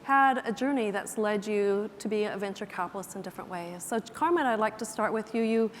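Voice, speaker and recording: A woman hosting a stage panel, introducing guests in a measured, polite way with some fillers. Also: medium pitch. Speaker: moderator host. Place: museum auditorium stage. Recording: reverberant auditorium, faint background noise.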